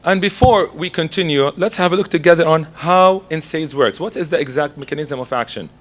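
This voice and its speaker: A man with laryngitis, hoarse, giving a methodical medical lecture as a pharmacist, working through his slides. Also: fairly high pitch, brisk, a bit bright.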